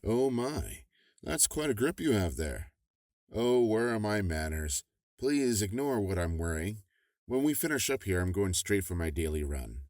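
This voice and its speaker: Brief: man as a smooth, folksy government official, very low voice, dry studio sound. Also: big pitch swings, unhurried, a little mellow, dry recording. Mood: affable. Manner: affable, persuasive, chuckling. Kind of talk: audio drama acting.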